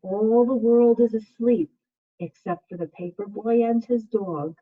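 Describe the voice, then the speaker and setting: A woman teacher speaking to young children in a read-aloud style, with measured, simple phrasing over a video call. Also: a little high, slightly rough. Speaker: second grade teacher. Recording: muffled, phone-like video call audio.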